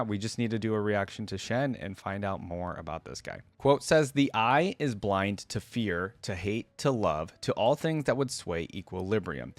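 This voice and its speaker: A man with a fairly low voice hosts a reaction video in a casual, conversational style. He moves between commentary and reading aloud, and the sound is dry with little room in it. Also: no room sound. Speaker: YouTube reaction host.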